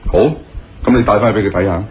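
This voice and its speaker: An actor playing a stern, forceful police colonel in a Cantonese radio drama, blunt and demanding. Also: male voice, on the low side, rapid-fire, close to an everyday voice. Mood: businesslike. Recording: dull lo-fi radio recording, loud music behind.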